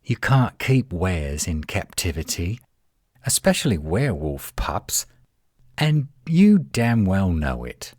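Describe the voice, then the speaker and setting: A man playing a defensive, flirtatious tsundere werewolf in a roleplay audio drama, indignant and sarcastic with a husky voice. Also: mid-range voice, a little mellow, no echo, clean studio sound.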